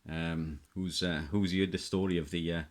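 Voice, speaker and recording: A middle-aged man with a low-pitched voice talks through things in an off-the-cuff way. He sounds like a hobbyist showing items one by one, pausing to muse and often repeating his phrases. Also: flat delivery. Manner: halting, musing, repeats himself. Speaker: hobbyist presenter. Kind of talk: casual unscripted show-and-tell commentary.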